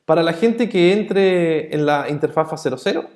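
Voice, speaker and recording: A male instructor with a somewhat high voice walks students through a networking topic in a casual, conversational tone. He keeps asking short check-in questions and leans on everyday examples to explain ideas. The recording carries a noticeable roomy echo. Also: moderate pace, a little mellow. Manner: chatty, asks the class frequent check-in questions; illustrates points with everyday analogies. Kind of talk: explanatory online lesson.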